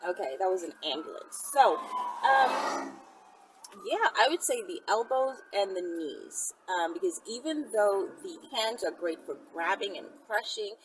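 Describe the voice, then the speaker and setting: A woman's voice: mid-range voice, lively intonation, unhurried. Woman chatting frankly, distant noisy mic. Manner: frank, conversational, emphatic. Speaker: video host answering viewer questions. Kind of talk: casual chat. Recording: distant mic with clear background noise.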